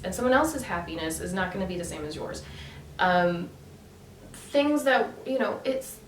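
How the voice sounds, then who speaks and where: Lady vlogging her opinions, lively and emphatic, roomy echo, sitting back from the mic with noise behind her. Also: medium pitch. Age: adult. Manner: animated, emphatic, lots of fillers. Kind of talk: casual opinion monologue. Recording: echoey room, mic at a distance, background noise.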